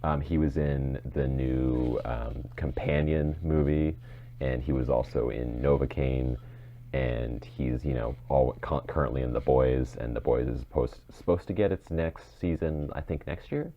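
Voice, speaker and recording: Very deep-voiced, rambling man podcasting. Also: steady tone, unhurried, muffled recording. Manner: rambling, circling back to rephrase. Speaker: podcast co-host. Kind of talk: casual podcast chat.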